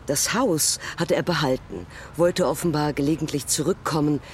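A talkative male witness played by an actor in a radio drama explains himself at length in a conversational way. His voice sits fairly high, with clear ambient noise around it. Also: normal speed, lightly acted. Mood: explanatory. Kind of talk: German audio drama dialogue.

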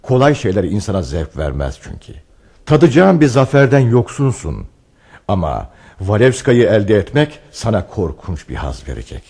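A wry storyteller of radio theatre, with a low, mellow voice that swings widely. He is theatrical and knowing, teasing and philosophising as he goes. The voice has a husky edge. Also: sing-song, normal speed, full character voice. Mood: insistent, knowing. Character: wry male storyteller who chats knowingly with his hero. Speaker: narrator in a radio play. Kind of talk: Turkish radio theatre acting, narration and dialogue.

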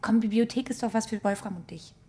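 A woman's voice: on the high side, fast, mellow, lightly acted. An actress playing a fearless, cool, scheming woman in a radio play, with a blunt, mocking manner. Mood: casual, confessing. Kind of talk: radio play acting in German.

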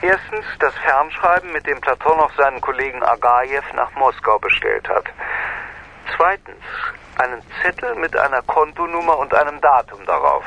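An actor playing a businesslike senior police investigator, giving a formal, precise briefing in a radio drama. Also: male voice, medium pitch, animated, normal speed, gravelly, pushed and bright, slight background noise, low-quality recording.

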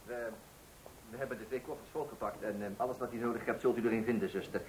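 A man's voice: medium pitch, steady tone, fast talker, a little mellow, a little hiss or hum, lightly acted, rough recording. A man playing a flustered, dithering husband in a comic radio play, hesitant, stammering and repeating himself. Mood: nervous politeness. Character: flustered, dithering husband anxious about running the household.

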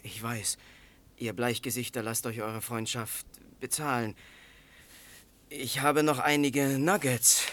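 An actor playing a proud, solemn Lakota warrior father who recounts the past in a German radio play, in measured storytelling. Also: mid-range voice, flat delivery, fairly bright tone. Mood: earnest. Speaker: narrator and lead character.

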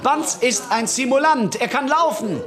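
An actor in a German radio drama playing an indignant, gleeful whistle-blower with a declamatory, theatrical delivery. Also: male voice, very high voice, animated, unhurried, a crowd in the background. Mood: triumphant, indignant. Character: gleeful, indignant man exposing a fraud on air.

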